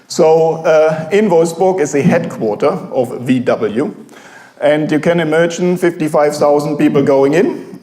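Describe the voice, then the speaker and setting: German accented professor chatting to a cafe crowd, lots of ums, mellow tone, echoey room. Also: male voice, fairly high pitch. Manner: halting, many fillers, engages audience. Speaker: guest speaker, professor. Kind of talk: informal public talk. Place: café venue with an audience.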